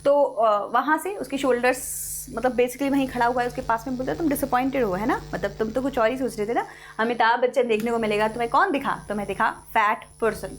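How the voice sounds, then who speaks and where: Indian woman teacher, fairly high voice. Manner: explains in Hindi mixed with English, reads English lines slowly. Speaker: English teacher. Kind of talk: live online class explanation.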